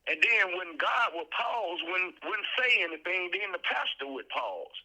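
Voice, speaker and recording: A man's voice: high voice, animated, a little slow, bright, forceful tone, poor audio. A man from the Memphis area, telling his personal testimony as a guest, earnestly and with frequent repeated words as he gathers his thoughts. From Memphis area, southern United States.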